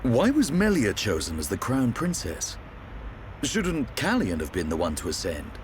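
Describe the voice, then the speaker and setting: An actor playing a thoughtful young adventurer in a video game, earnest and inquisitive. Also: male voice, fairly low pitch, wildly swooping pitch, moderate pace, a little hiss or hum. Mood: puzzled. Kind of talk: dramatic video game cutscene acting.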